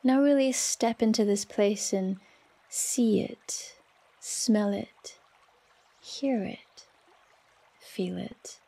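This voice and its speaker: Slow, mellow woman guiding a relaxation meditation. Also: mid-range voice, gravelly, breathy edge. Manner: soft, soothing, unhurried with long pauses. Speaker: yoga nidra meditation guide.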